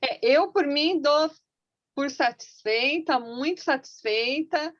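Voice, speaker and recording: A woman, an event organizer closing an online meeting over a video call, speaking politely and deliberately. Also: high voice, a bit bright, no room sound. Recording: dull, dry video-call audio.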